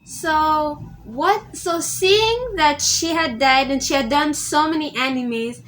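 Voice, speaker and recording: High-voiced chatty teenage girl. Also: takes it slowly, a bit bright. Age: teens. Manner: rambling, restarts often, chatty. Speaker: vlogger. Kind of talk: casual vlog tribute.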